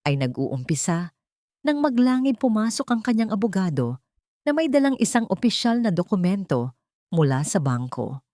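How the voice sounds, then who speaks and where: Low-voiced Filipino woman narrating, dry sound. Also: a little mellow, dry recording. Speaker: story narrator. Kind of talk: dramatic scripted storytelling narration.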